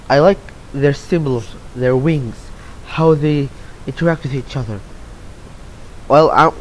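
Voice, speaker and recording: Young guy from saudi arabia rambling about his art, fairly high voice, bit of hiss behind. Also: poor audio. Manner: rambling, many fillers and restarts. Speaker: interview guest, artist. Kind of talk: casual answers to read-out questions.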